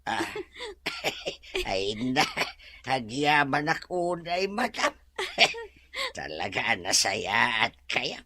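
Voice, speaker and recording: An elderly Filipino household servant in an audio drama, bashful and full of gratitude. The voice is husky and creaky, with a sharp edge. It leaps up and down as he speaks haltingly and deferentially. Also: mid-range voice, wildly swooping pitch, exaggerated voice. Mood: overcome, grateful. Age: older. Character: grateful, bashful elderly manservant retiring from a mansion.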